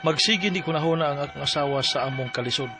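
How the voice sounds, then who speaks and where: A man's voice: fairly high pitch, quick pace, music in the background, natural voice. A man acting a worried, weary husband in a radio drama, earnest and troubled. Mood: troubled, confiding. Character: worried, weary working husband pleading for his wife.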